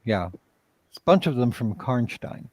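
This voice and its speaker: A man talking casually on a livestream video call as a writer and director, telling things in a hesitant, halting way. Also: medium pitch, very animated, no room sound. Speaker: writer and director, interview guest.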